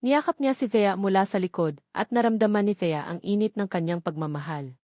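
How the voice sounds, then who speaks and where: A woman narrates a romance story from a script. Her voice sits at an average pitch for a woman. The sound is very dry, with no echo around the words. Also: brisk, dark tone.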